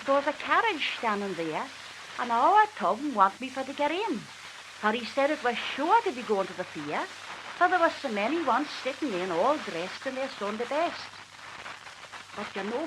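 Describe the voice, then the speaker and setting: A woman's voice: fairly high pitch, close to an everyday voice. A woman reciting a dialect tale as a talkative, exasperated Manx country wife confiding in a neighbour, on a hissy 1940s archive recording. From Isle of Man. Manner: animated, confiding, repeatedly addressing her listener.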